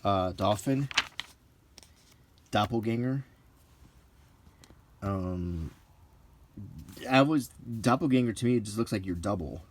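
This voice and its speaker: A middle-aged man rambling casually in a halting, unhurried way as he browses a book, with dry asides. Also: on the low side, a little hiss or hum. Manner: unhurried, halting, dryly joking. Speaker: hobbyist video presenter.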